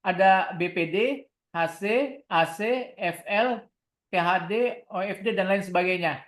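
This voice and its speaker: A woman's voice: medium pitch, a little slow. A woman with an Indonesian accent leading an online equipment tutorial step by step, often posing questions and answering them herself in an instructional way. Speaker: product trainer giving a software tutorial.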